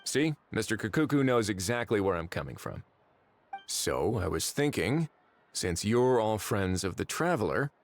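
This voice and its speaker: A man voicing a shrewd, easygoing, world-weary official in a video game, with dry, measured authority. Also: fairly low pitch, sing-song, a little hiss or hum. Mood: weary, relaxed. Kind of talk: animated video game voice acting.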